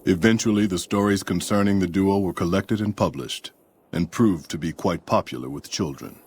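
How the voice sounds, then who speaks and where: A man playing a calm, measured narrator of wasteland tales in a video game. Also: sits low, slightly rough. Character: calm, deep-voiced male storyteller of wasteland tales. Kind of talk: scripted game epilogue narration. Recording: faint background noise.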